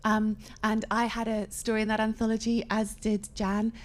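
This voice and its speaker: A woman speaks in a slightly high voice. She is an author on a live panel, talking in an earnest, reflective way. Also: monotone, normal speed. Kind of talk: live literary panel conversation.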